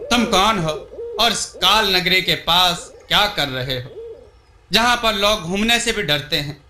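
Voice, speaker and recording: A wise old villager from a storybook, played by a man, explains and cautions solemnly. The voice is high and very bright, with an echo around it. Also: expressive, measured pace, exaggerated voice. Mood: questioning. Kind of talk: storybook dialogue.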